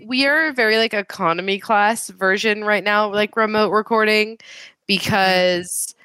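A woman's voice: mid-range voice, steady tone, unhurried, sharp tone, clear, resonant tone, no room sound. A congested woman co-hosting a podcast from home over a gaming headset, chatting in a playful, sarcastic way. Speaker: podcast co-host. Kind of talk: casual chat. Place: remote recording from home.

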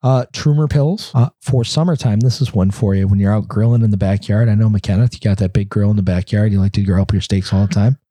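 Congested man with a dark husky voice. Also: on the low side, quick pace, hardly any pauses, dry recording. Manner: rambling, filler-heavy. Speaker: podcast co-host. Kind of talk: casual chat.

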